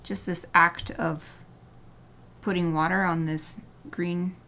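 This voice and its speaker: A woman artist chatting casually during a livestream as she paints, rambling and often dropping to a soft murmur, with light laughter. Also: fairly low pitch, flat delivery, slow pace. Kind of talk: casual chat while painting.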